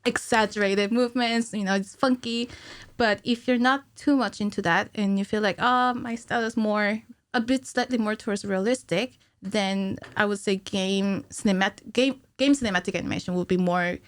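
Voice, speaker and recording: A woman's voice: medium pitch, steady tone, moderate pace, no echo. A woman in her thirties talking informally as a podcast guest, thinking aloud in short bursts. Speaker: podcast guest, cinematic animator. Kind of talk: casual interview chat. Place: small indoor podcast studio. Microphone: studio mic on a stand, headphones.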